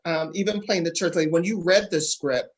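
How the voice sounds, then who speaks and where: A man with a fairly high voice hosts an interview. He is conversational and affirming, with short murmurs of agreement. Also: a little fast. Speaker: interviewer, host.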